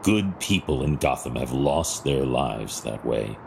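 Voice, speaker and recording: An actor playing a stern, brooding masked vigilante detective in an audio drama, gravelly and husky, speaking in terse commands. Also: male voice, a bass voice, expressive, unhurried, slight background noise, heavily acted. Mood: grim, resolute.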